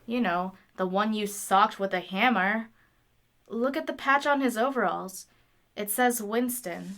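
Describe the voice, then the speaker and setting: A woman's voice: mid-range voice, unhurried, fairly bright tone. A woman playing a nerdy, caring young engineer in an audio drama, talking in a casual, exclamatory, teasing way.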